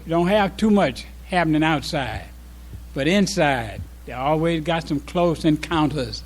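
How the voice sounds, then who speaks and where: An older man with a voice a little higher than average gives an informal address as a former imam. He reminisces reflectively to a congregation. His delivery is halting, often pausing partway through a thought. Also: lively intonation, a little hiss or hum. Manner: halting, reflective, with pauses mid-sentence.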